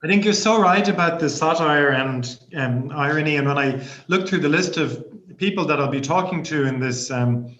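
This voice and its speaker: A man's voice: on the high side, normal speed, hardly any pauses, a bit bright, a little hiss or hum. A man in his forties, a professor hosting an interview, asking engaged questions with frequent ums. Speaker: professor, host. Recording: echoey room, dull lo-fi audio.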